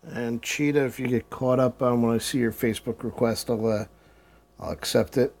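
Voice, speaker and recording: A man getting over a cold, hoarse and often clearing his throat, giving casual commentary while streaming a game. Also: medium pitch, steady tone, moderate pace, a little mellow. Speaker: gaming live streamer.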